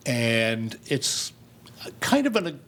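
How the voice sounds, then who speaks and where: A man's voice: mid-range voice, sing-song, drawn-out words, a bit bright. An older man talking informally and good-humoredly in a television interview, explaining his work as a theater director. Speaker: community theater director and set designer.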